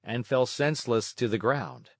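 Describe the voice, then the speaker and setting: Guy reading a kids story, mid pitch, really lively and dramatic, dry close sound. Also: moderate pace, no room sound. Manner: expressive, dramatic. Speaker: storybook narrator. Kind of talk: scripted children's story narration.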